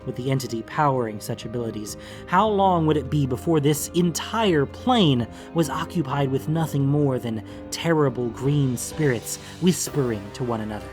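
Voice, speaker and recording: A man giving measured, theatrical narration of a fantasy audiobook. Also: mid-range voice, animated, music in the background.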